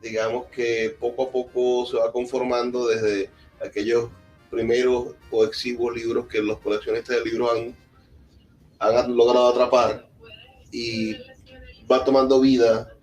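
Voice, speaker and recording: A man interviewing a guest at a public talk in a deliberate, reflective way. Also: medium pitch, level tone, darkish tone, low-quality recording. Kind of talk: conversational interview introduction. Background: faint music.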